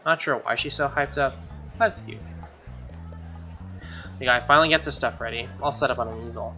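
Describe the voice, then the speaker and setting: A man's voice: mid-range voice, fairly quick, pushed and bright. A man reading a visual novel aloud as a let's play host, with wry, joking casual asides. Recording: dull lo-fi sound, music behind.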